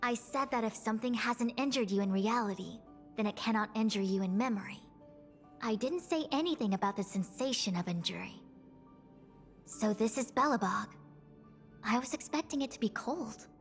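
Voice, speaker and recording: A woman's voice: a little high, expressive. A woman voicing a composed, authoritative seer in a video game, speaking formally and exactingly. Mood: brisk, dry. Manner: formal, measured, imperious. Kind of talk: game voice acting. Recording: game music behind.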